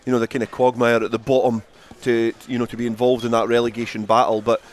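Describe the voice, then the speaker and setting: A man's voice: mid-range voice, little pitch movement, fast talker. A man giving off-the-cuff rugby analysis as a pundit, repeating words as he finds his phrasing. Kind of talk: unscripted analysis.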